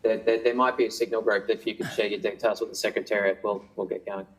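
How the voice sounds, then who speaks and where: A man's voice: on the low side, little pitch movement, quick pace. A man chairing a parliamentary committee hearing, informal and joking with members. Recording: echoey committee room.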